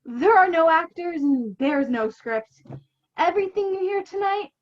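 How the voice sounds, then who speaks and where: A high-voiced young woman radio performer acted by a student declaims with panicky urgency, as if making a grave announcement. It sounds like slightly dull video-call audio in a comic play. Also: expressive, a little slow. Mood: urgent solemnity. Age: teens. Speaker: student actor. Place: home room, video call.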